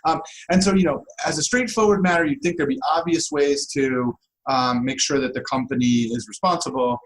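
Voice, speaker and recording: A man's voice: fairly high pitch, steady tone, a little fast, low-quality recording. Man, conversational academic explainer. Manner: discursive, explains step by step, checks in with listener. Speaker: guest law professor.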